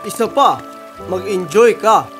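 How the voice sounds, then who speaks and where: A man playing a firm but encouraging royal father in an animated fairy tale. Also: high voice, unhurried, faint music behind. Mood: reassuring.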